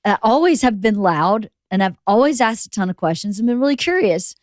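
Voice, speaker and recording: Woman, podcast host, loud voice, opinionated, curious, dry close sound. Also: medium pitch, lively intonation, a little fast, dry recording. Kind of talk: casual interview chat.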